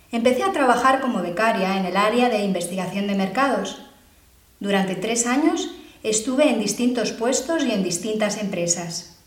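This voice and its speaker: A woman's voice: a little high, close to an everyday voice. Brief: actress as anxious twenty-something woman, earnest stage monologue, roomy echo. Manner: earnest, measured storytelling. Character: Valentina, 27, worried precarious young sociologist. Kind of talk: theatrical monologue. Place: university theatre classroom.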